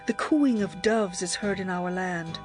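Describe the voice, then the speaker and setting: An actress playing a young, lovestruck bride, yearning and adoring, in a tender, poetic dramatized scripture reading. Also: female voice, lowish voice, a little slow, soft background music, close to an everyday voice. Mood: eager, joyful. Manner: expressive, poetic, tender delivery.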